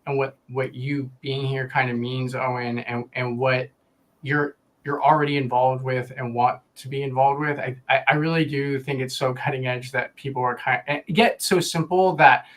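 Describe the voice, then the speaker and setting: A man's voice: medium pitch, steady tone, normal speed, rough recording. A man co-hosting a casual panel chat, asking questions carefully and rephrasing as he goes.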